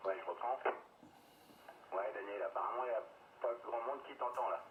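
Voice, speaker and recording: Lowish-voiced man on echoey radio. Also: fairly quick, narrow, tinny audio. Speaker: amateur radio operator. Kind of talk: casual radio group chat. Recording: echoey radio link, faint static.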